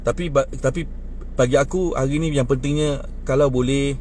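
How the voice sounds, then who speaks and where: A man's voice: normal speed, slight background noise. A man in his twenties with a Malaysian Malay accent, talking indignantly about politics in a casual outdoor chat by a bus stop. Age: 20s. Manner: animated and indignant, rising in pitch when worked up. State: short on sleep. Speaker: young man airing political views. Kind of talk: casual street chat, speaking to others nearby.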